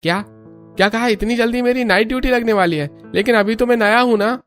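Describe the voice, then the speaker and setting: A man's voice: extremely high pitch, a little fast, fairly bright tone. A man voicing a polite, overworked young neighbor in a cartoon story. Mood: dismayed. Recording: music under the voice.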